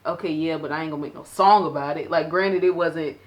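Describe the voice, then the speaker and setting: A woman's voice: low voice, fairly even intonation, brisk, lo-fi sound. A woman co-hosting a podcast in casual chat, laid-back, often repeating her points. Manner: laid-back, repeats phrases, frequent "you know what I'm saying". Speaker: podcast co-host.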